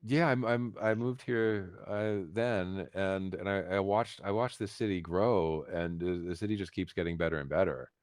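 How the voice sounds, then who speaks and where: An American man telling his story casually as an interview guest, halting and repeating words with emphatic asides. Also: low voice, steady tone, no echo, studio quality. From United States, Philadelphia. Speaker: interview guest, concert pianist.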